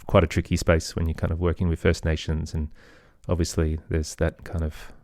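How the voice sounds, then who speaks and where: A man's voice: rumbling low, monotone, a little fast, a little mellow, dry recording, studio quality. A middle-aged man talking casually as an interview guest, in a hesitant, self-effacing, rambling way. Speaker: podcast guest, audio storyteller and producer.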